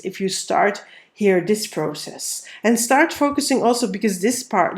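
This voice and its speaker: A woman with a cold and a nasal voice, giving a casual tarot reading and talking through each card as she lays it out. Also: mid-range voice. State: has a cold. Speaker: tarot reader.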